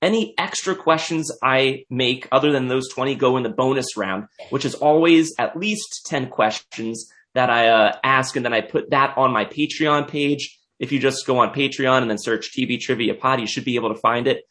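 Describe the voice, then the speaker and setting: Hesitant young hoarse man. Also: medium pitch, normal speed, few pauses, fairly bright tone. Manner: hesitant, lots of uh fillers. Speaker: podcast host. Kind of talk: casual podcast chat.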